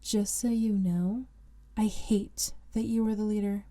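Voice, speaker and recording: A woman's voice: mid-range voice, steady tone, a little slow, mellow, lightly acted. A woman playing a proud, sarcastic young student huntress in an audio drama, speaking with self-assurance. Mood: haughty, resentful. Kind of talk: fan audio drama acting.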